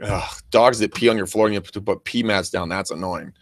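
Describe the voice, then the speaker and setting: A man with an American accent and a very loud voice chatting casually as a talk show co-host, enthusiastic, full of bro slang and swearing. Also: lowish voice, brisk, no echo. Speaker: co-host of a real estate training show and agent. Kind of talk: casual unscripted show chat with shout-outs. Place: private office.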